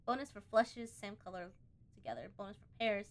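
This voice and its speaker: A woman doing a casual let's play, reading on-screen game text aloud and breaking off to think out loud. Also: mid-range voice, expressive, brisk. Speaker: gaming video host.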